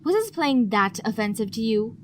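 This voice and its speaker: A woman playing a composed, formal mature pony madam in an audio drama, measured and politely chiding. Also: fairly high pitch, very animated, crowd noise. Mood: concerned, chiding.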